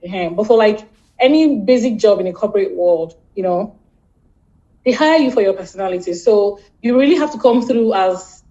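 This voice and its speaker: A woman's voice: mid-range voice, lively intonation, warm tone. Nigerian woman, dull lo-fi call audio. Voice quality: halting, repeats words, laughs often. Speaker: guest. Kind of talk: casual interview chat.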